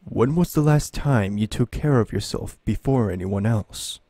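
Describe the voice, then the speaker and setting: A woman playing a calm, caring counselor in an audio drama, measured and gently firm. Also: extremely low pitch, slightly rough, dry recording, full character voice. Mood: calm concern.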